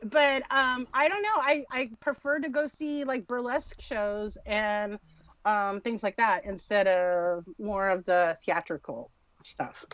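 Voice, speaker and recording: A woman's voice: mid-range voice, a little slow, fairly bright tone. A woman co-hosting a podcast in casual chat, on a dull lo-fi microphone. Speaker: podcast co-host. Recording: dull lo-fi mic sound.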